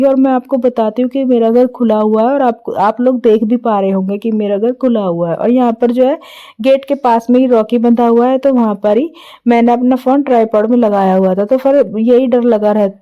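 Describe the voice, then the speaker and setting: A hoarse woman talks through her evening chores in an easy, rambling voice-over, her throat rough from a cold. Her voice sits a little high, and the recording sounds dull and lo-fi. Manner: chatty, homely, many fillers. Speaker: vlogger. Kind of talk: casual voice-over narration of daily chores.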